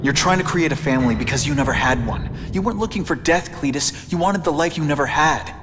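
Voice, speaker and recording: An actor voicing a young male wisecracking superhero in a video game cutscene, firm and confrontational with a quick, joking edge. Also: on the high side, background noise, natural voice. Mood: stern.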